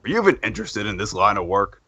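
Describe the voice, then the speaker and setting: A hearty, hammy fellow in a comic fandub, acted by a man. His voice is bright and forceful with a gravelly edge, and it leaps around in pitch. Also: very animated, quick pace, no room sound, exaggerated voice. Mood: brisk, businesslike. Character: hearty carriage traveler and brisk freight boss. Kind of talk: comic fandub character reading.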